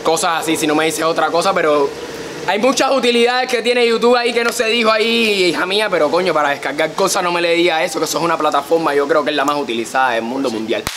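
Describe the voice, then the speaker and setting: Young Cuban male co-host, fairly high voice, animated comic chat, faint background noise. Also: fast talker. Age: young adult. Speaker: prank show co-host. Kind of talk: casual comic chat to camera.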